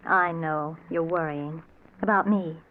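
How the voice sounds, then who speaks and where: An actress playing a devoted, gentle, reassuring wife in a vintage radio drama, speaking affectionately. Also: female voice, deep voice, fairly even intonation, unhurried. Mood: tender concern. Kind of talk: 1940s-50s radio drama acting. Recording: tinny old radio recording, faint noise.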